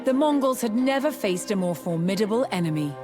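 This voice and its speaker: A woman delivering scripted documentary narration in a measured, dramatic storytelling manner. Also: mid-range voice, expressive. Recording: music under the voice. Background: background music.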